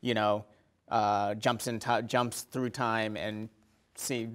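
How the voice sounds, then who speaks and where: A man's voice: on the low side, flat delivery, slow. A man in his thirties chatting casually about films as a guest, tentative and agreeable. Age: 30s. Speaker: podcast guest.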